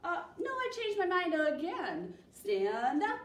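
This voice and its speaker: A woman voicing a bossy, excitable little bug from a children's picture book, commanding and teasing in a playful way. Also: very high voice, slow pace, roomy sound, recorded from a distance, slight background noise, pitched above the performer's own voice, full character voice.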